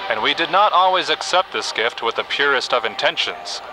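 A man narrates like a history documentary in an audio drama. His delivery is formal and measured, at a middling pitch, with music clearly underneath. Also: animated, natural voice, rough recording. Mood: solemn. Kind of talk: scripted narration.

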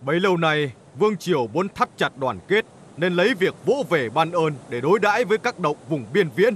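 A man playing a resolute middle-aged emperor in an animated historical drama, with stately, declamatory delivery. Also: a little high, brisk, a little hiss or hum. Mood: firm, measured. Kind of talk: animated historical drama acting, formal oratory.

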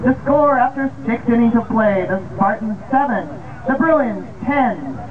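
An American woman working as a baseball public address announcer, reading scripted announcements in a measured way over an outdoor ballpark's loudspeakers. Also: on the high side, expressive. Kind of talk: scripted PA announcing of lineups, promotions and game updates. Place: outdoor baseball field, heard over the PA system. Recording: tinny distant ballpark loudspeaker, outdoor noise.

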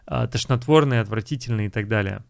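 A man's voice: medium pitch, fast talker, vocal fry, no echo. A young man, an English teacher, casually explaining film lines and repeating English phrases.

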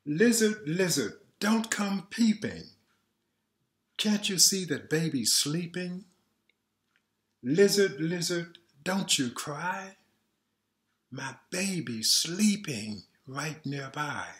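A man reading aloud as a mother who hushes noisy animals, in a sing-song rhyming chant. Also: on the high side, expressive, slow, pitched above the performer's own voice. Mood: soothing chant. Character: gentle mother hushing animals near her baby.

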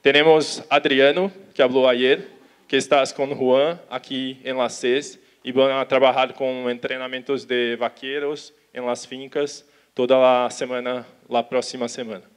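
Slow Brazilian man in halting Spanish. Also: mid-range voice, level tone. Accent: Brazilian Portuguese. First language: Portuguese. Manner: slow, careful, with restarts and Portuguese words. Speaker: conference speaker. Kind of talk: conference talk in halting Spanish. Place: large auditorium stage. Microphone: handheld mic.

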